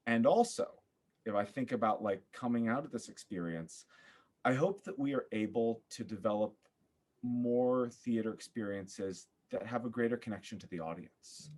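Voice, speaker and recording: A man giving a thoughtful interview as a podcast guest, searching for his words with an earnest, halting manner. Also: medium pitch, darkish tone. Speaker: podcast guest, theater artist.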